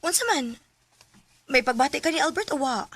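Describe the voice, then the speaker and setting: A woman with a Filipino Cebuano accent playing a gentle, loving woman in a sentimental radio drama. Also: sits high, normal speed, no echo. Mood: coy, prodding. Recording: radio drama with music underneath.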